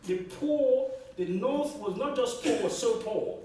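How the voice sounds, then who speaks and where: High-voiced man in an echoey hall. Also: lively intonation, slow pace, dark tone. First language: Yoruba. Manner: emphatic, repeats key phrases, asks the room for agreement. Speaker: debate panelist. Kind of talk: impassioned public debate talk. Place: large echoey lecture hall. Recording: echoey hall from far off, faint noise.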